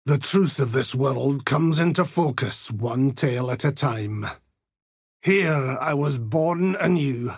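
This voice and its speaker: An actor playing a zealous, grim older Templar holy warrior in a video game, with a gravelly, raspy voice and solemn, righteous delivery. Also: male voice, mid-range voice, slow pace, no room sound, clean studio sound. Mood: grave, brooding. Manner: solemn, pious, righteous oratory. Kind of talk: video game character voice lines.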